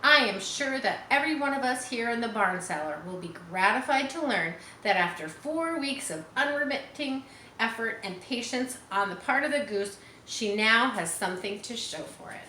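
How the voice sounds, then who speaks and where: A woman reads as a clever storybook spider making gracious, formal pronouncements. The delivery is bright and lively, in an echoey room with a faint background noise. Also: fairly high pitch, normal speed, voice pushed up. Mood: proud, formal. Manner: formal, grandly worded.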